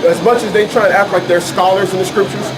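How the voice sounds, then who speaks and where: A man's voice: high-pitched, fairly even intonation, quick pace, very noisy. An American man preaching and teaching scripture informally, arguing his points in an emphatic, repetitive, colloquial way. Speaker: street preacher and Bible teacher.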